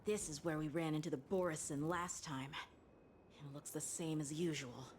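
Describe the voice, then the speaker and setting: A woman's voice: low-pitched, normal speed, darkish tone, slight background noise, natural voice. A woman voicing an earnest, polite young boy lieutenant in a video game, speaking dutifully.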